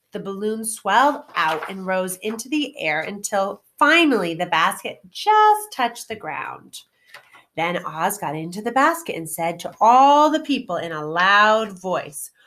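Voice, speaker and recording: A woman teacher reading a children's storybook aloud with expressive, clearly phrased narration. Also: mid-range voice.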